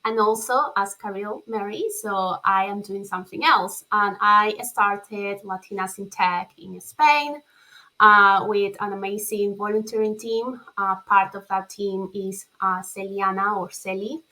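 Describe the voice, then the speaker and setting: Bright-voiced woman from Ecuador. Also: medium pitch, fairly even intonation, a little slow, rough recording. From Ecuador, Guayaquil. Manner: warm, friendly, with fillers. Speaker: panelist, community founder. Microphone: video call.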